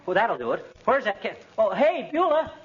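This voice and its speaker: A man's voice: sits high, sing-song, moderate pace, slightly rough, heavily acted. A man playing a boastful, bumbling American husband with folksy, self-assured bluster in 1940s radio comedy. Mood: busy, searching. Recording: noisy, muffled vintage radio recording.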